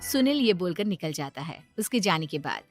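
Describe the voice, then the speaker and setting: A woman's voice: fairly low pitch, big pitch swings, moderate pace, soft background music. A woman reading a scripted story as its narrator.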